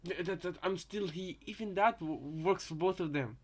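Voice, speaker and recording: A man with a somewhat high voice gives casual fan commentary. He thinks aloud, circling back over his phrases hesitantly. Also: normal speed, slightly rough. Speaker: reactor, fan theorist.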